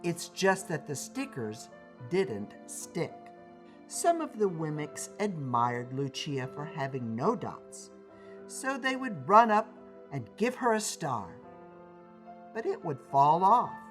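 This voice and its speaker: Slow, lively male children's storyteller. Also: a little high. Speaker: storybook reader. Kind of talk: expressive scripted storytelling for children. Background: music.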